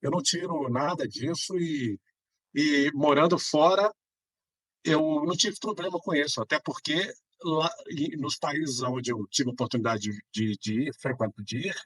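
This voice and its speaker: Casual Mineiro man, rambling and slightly husky. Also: mid-range voice, a bit bright, no echo, low-quality recording. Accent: Brazilian Portuguese, Minas Gerais. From Belo Horizonte, Brazil. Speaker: podcast guest. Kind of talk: casual conversational interview answers.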